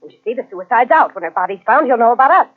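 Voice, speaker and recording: Mean gangster moll in old radio drama, sharp tongue, swoopy pitch, tinny. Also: female voice, animated, fast talker. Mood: scornful, urgent. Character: hard, scornful gangster moll, ruthless accomplice. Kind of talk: 1940s radio crime drama acting.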